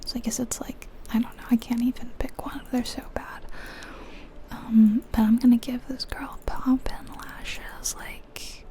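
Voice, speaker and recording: A woman whispering softly and breathily in a gentle, unhurried ASMR style, chatting casually as she thinks aloud over a game. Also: fairly high pitch, flat delivery. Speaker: ASMR video creator, host.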